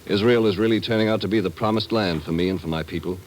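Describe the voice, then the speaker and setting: Actor as deep-voiced earnest airline man, old radio. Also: fast talker, lightly acted. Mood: heartfelt, hopeful. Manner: earnest, heartfelt, hesitant at times. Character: earnest Israeli airline part-owner, male, hopeful former refugee. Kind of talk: 1950s radio drama acting. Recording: vintage radio recording with background noise.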